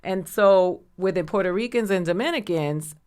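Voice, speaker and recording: A middle-aged woman from the United States, a comedian guest, talking casually in an interview and telling stories with lively quoted voices. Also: medium pitch, moderate pace, clear, resonant tone. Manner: animated storytelling, acts out quoted voices.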